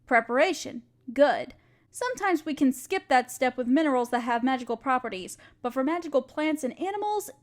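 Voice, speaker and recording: High-voiced, encouraging alchemist woman acted out by a woman. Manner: gentle, patient, reassuring teacher. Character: kind, encouraging female alchemist teaching her apprentice. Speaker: voice actor in a scripted audio roleplay. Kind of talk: intimate scripted roleplay, one-sided conversation with listener.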